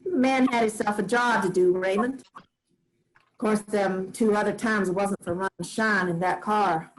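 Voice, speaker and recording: A woman's voice: medium pitch, steady tone, measured pace, natural voice, low-quality recording. A woman reading a folksy grandmother. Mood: fond. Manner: drawling, homespun storytelling. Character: folksy old grandmother, rural storyteller. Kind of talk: staged play reading.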